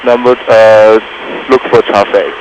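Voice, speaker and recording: A man's voice: fairly low pitch, flat delivery, muffled recording. A man training as a student pilot, hesitantly reading checklists and making radio calls on a headset. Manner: hesitant, halting. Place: small Cessna cockpit in flight. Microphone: aviation headset. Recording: noisy aircraft cockpit audio.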